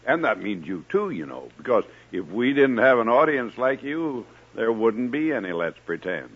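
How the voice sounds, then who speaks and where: A man's voice: medium pitch, sing-song, moderate pace, breathy edge. A man hosting and telling stories on a 1950s children's radio show in a hearty, folksy way. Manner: hearty, folksy address to young listeners. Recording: dull old radio recording with music.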